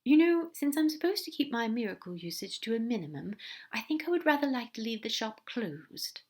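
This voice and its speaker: A woman voicing a gentle, fussy male angel, speaking softly and earnestly, often in a whisper. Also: fairly high pitch, very animated, fairly quick, voice pushed up. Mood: affectionate.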